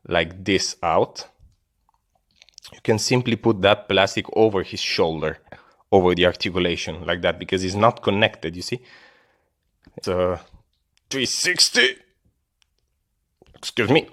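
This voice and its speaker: A man from Italy with an Italian accent, a native Italian speaker, giving a casual, unscripted review as a video host in hesitant, halting, apologetic English. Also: low voice, fairly bright tone.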